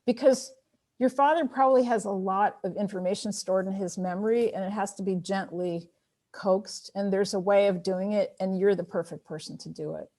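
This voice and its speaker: Woman author, Armenian first language, mid pitch, thoughtful and encouraging, light laughs. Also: fairly even intonation, moderate pace, dark tone. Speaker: author answering audience questions. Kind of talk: online Q&A conversation.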